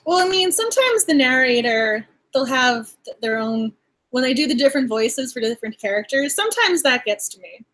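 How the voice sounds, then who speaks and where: A woman from Massachusetts talks through a cozy mystery with a friend, her voice sitting high and lively. She stumbles happily through half-finished thoughts, laughing and playing out what she felt while reading. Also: fairly quick. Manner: animated, laughs often, acts out reactions. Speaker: book club host. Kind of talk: casual book discussion.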